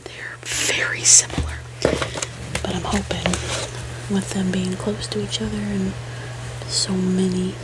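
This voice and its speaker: Sniffly whispering woman, soft chat. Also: medium pitch, flat delivery, slow pace, long pauses, poor audio. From United States. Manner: soft-spoken, rambling, chatty aside. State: sniffly from allergies. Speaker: ASMR creator. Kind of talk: whispered ASMR while coloring.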